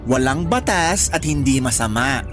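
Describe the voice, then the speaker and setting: A woman's voice: extremely low pitch, lively intonation, moderate pace. An actress playing an exasperated young woman scolding her best friend, sarcastic and insistent, with a Filipino accent, in a radio drama. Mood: nagging. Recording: music bed under the dialogue.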